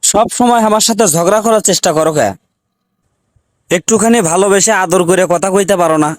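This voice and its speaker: A man's voice: sits high, brisk, dry recording, close to an everyday voice. A man voicing an exasperated, pleading village husband in a comic cartoon, with a North Bengal accent, complaining in questions. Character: exasperated, pleading village husband who turns on his wife. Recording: clearly noisy recording with clicks.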